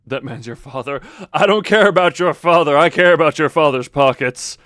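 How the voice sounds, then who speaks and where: A man's voice: fairly high pitch, moderate pace, fairly bright tone, no room sound, clean studio sound. A man giving casual, rambling live commentary as he plays a video game, with jokey exclamatory asides. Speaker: live streamer playing a horror game.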